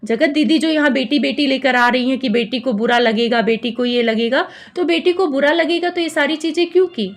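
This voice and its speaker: High-pitched woman giving opinionated gossip commentary. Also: fairly even intonation, a little fast, few pauses. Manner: opinionated, accusatory, repetitive. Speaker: commentary vlogger. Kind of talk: informal gossip commentary, recapping and judging a dispute.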